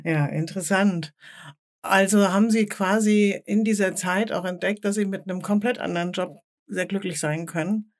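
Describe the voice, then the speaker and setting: A woman's voice: medium pitch, steady tone, moderate pace. A woman interviewing a podcast guest, encouraging him with frequent agreeing sounds and enthusiastic follow-up questions.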